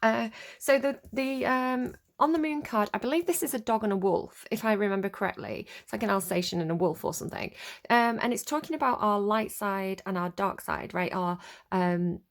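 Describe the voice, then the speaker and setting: A sniffly woman with a cold talks through a tarot reading in a loose, wandering way, full of ums and likes. Her voice has a gravelly, creaky edge and sits in a middle pitch. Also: normal speed. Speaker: tarot reader. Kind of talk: casual spoken tarot reading.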